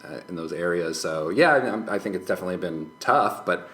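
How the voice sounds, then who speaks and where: A man's voice: low-pitched, brisk, a bit bright, a little hiss or hum. A man as a podcast guest in casual personal conversation, speaking hesitantly and often correcting himself mid-phrase. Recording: roomy, echoing podcast recording.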